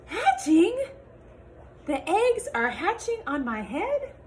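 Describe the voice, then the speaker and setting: Lady doing a fretful excitable storybook pig, incredulous, pitch all over the place. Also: slow, slight background noise, full character voice. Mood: exasperated alarm. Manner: exclaiming, incredulous questioning. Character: excitable, worried cartoon pig with birds on head.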